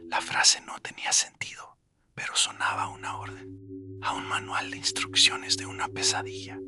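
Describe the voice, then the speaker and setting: A man with a whispery, breathy voice narrating a scripted horror story in a suspenseful storytelling manner. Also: low-pitched, completely flat delivery, moderate pace. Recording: loud music bed under the voice. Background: loud atmospheric music.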